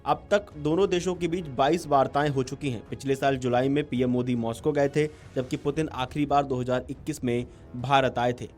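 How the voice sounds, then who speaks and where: A man's voice: medium pitch, steady tone, brisk, darkish tone. Male news narrator over music. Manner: formal. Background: background music.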